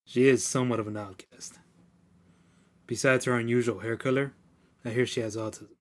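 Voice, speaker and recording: A man playing a gruff, brusque Victorian police inspector in an audio drama, with music behind him. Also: mid-range voice, fairly even intonation, normal speed, natural voice. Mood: dry, measured. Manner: brusque, dismissive. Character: gruff, impatient, bigoted male Victorian London police inspector.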